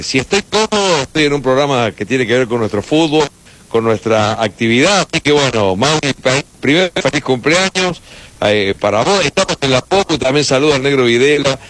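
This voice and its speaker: A man's voice: medium pitch, expressive, a little fast, a bit bright, dry recording. A man with an Argentine accent from Córdoba, speaking conversationally as a guest on sports radio. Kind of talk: conversational greeting, sports talk. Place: radio studio.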